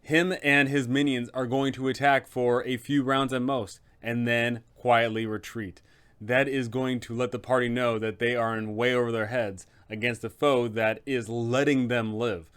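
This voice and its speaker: A man's voice: medium pitch, fairly even intonation, moderate pace, fairly bright tone, clear, resonant tone. A man hosting a game master's guide, narrating in an emphatic, conversational explainer style.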